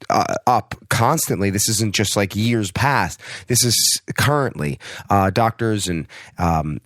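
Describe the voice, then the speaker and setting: Podcast host, male, hoarse from a cold, lowish voice, dry close sound, chatty and rambling. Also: no room sound. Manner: rambling, many fillers and restarts. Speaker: podcast host, personal trainer. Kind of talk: casual podcast intro.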